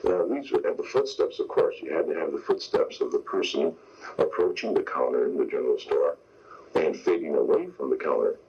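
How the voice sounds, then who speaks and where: Deep, husky older man reminiscing. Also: lively intonation, a little fast, a little mellow. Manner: explanatory, step by step. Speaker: veteran radio sound effects man, interview guest. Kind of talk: interview reminiscence. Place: radio interview. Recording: dull lo-fi radio broadcast tape.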